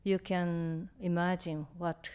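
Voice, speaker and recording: A woman's voice: on the low side, little pitch movement, clear, resonant tone. Japanese-accented woman in her 50s, stops and restarts a lot, small laughs, talking into a stand mic at a lecture. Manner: halting, searching for words, with soft laughs. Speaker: author answering audience questions. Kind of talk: live Q&A at a reading. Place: lecture room on stage. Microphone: stand mic in front.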